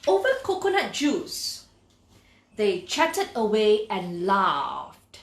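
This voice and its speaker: A woman reading a children's story aloud in an expressive, deliberate, instructive way, speaking directly to young listeners. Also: medium pitch, reverberant.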